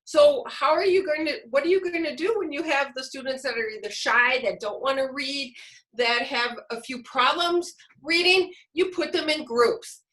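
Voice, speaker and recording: A woman's voice: high voice, normal speed, a bit bright. A woman presenting informally to teachers as a trainer over a video call, explaining things and posing questions that she then answers. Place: online video meeting. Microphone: video call microphone.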